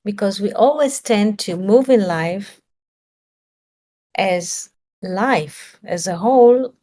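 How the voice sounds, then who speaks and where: A woman's voice: medium pitch, takes it slowly, mellow. Woman giving a searching spiritual talk. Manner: searching for words, rhetorical questions. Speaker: spiritual teacher.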